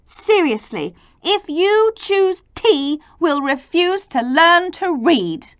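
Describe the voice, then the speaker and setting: Indignant storybook kids voiced by a woman, raised swooping pitch, loud and emphatic protest. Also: very animated, slow, no room sound, studio quality, exaggerated voice. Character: indignant, argumentative group of young children.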